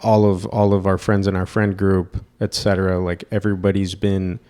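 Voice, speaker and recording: A young man in his twenties, co-hosting a podcast in a relaxed, rambling chat full of fillers and restarts. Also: sits low, normal speed. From Mexican heritage. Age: 20s. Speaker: podcast co-host. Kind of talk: casual chat.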